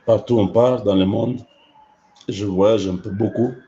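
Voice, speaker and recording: A man, hoarse from a cold, talking in a slow, halting way with many fillers as a livestream host. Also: on the low side, steady tone, mellow, highs cut off. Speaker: online channel host. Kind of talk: casual livestream commentary.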